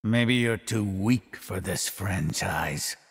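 A man voicing a sinister, taunting masked slasher killer for a video game, with a gravelly, husky voice and drawn-out menace. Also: sits low, long reverb tail, faint music behind, exaggerated voice. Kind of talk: video game villain voice lines.

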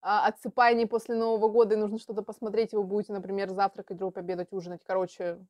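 A woman's voice: medium pitch, little pitch movement, racing, darkish tone, dry recording. A young adult woman chatting casually as she hosts a reaction video at home. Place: small room at home with bookshelves.

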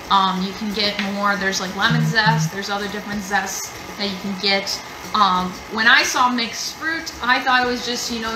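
A young woman hosting a casual live cooking demo from a home kitchen, chatty and rambling with lots of fillers. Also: a little high. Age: young adult. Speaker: museum cooking show host. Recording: echoey kitchen with background noise.

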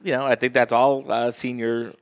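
A man's voice: mid-range voice, little pitch movement, moderate pace. A man appearing as a real estate guest, giving confident, explanatory interview answers. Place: TV-style studio set.

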